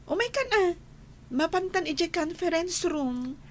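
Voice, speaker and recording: A woman's voice: very high-pitched, animated, measured pace, slight background noise. A woman playing an earnest young student in an Ilocano radio drama. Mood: earnest, defensive.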